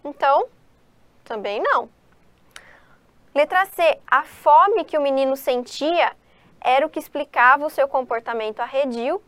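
A woman's voice: high-pitched, fairly bright tone, no room sound. A Brazilian Portuguese-speaking woman teacher walking students through exam questions, asking and answering her own questions. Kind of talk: exam-prep lesson, reading and explaining questions.

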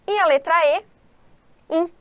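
Brazilian woman teacher, high voice, dry close sound, explains exam answers to students. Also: unhurried, no echo, dull audio. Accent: Brazilian Portuguese. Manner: explanatory, addresses students, asks and answers own questions. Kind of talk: exam-prep lesson, reading and explaining questions.

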